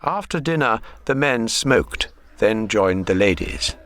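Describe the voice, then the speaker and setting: A man narrating a literary radio drama in a measured, wry way. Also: lowish voice, lively intonation, fairly bright tone.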